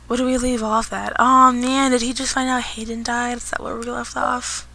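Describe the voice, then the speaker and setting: A woman hosting a game playthrough, hoarse from pneumonia, chatting casually into a boosted desk mic and adding sympathetic asides. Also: on the high side, flat delivery, moderate pace, sharp tone. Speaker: let's play host. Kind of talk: casual gameplay commentary.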